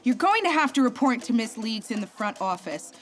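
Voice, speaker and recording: An actress playing a brisk, no-nonsense female counselor who sounds exasperated with her charges, in a comedic audio drama. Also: on the high side, sing-song, soft background music. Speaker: counselor at a villain rehab center.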